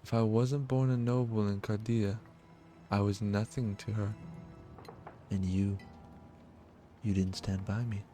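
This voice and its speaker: A man's voice: fairly low pitch, level tone, unhurried, pauses often, dark tone, faint music behind, natural voice. A man voicing a creepy, lovesick young noblewoman in a visual novel, clingy and wounded. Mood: wounded, accusing. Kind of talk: visual novel dialogue read aloud.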